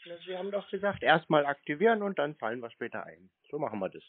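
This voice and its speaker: A man's voice: on the high side, big pitch swings, quick pace, breathy edge. A man bantering casually on a call, nonchalant, stubborn and teasing, as he strings a caller along. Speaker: prankster taking scam calls. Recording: narrow phone-like sound.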